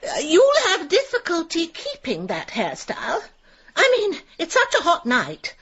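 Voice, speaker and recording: A woman's voice: extremely high pitch, wildly swooping pitch, unhurried, fairly bright tone, dull audio, heavily acted. An actress playing a fussy, self-important, gossiping village woman in a radio comedy, prissy and hesitant. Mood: prim, insinuating. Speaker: supporting actress in a radio drama. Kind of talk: radio comedy drama acting.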